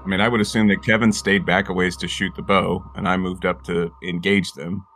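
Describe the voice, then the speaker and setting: A man's voice: deep voice, flat delivery, fairly quick, fairly bright tone, soft background music. A man playing a tabletop game, chatting casually about rules and tactics.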